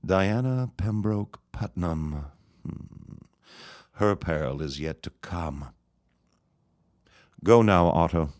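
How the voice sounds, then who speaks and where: An actor playing a scheming, vengeful elder reverend in a period radio drama, speaking in a pompous, florid, sneering manner. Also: male voice, deep voice, takes it slowly, pauses often, a little mellow, no echo, clean studio sound. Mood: scheming, menacing.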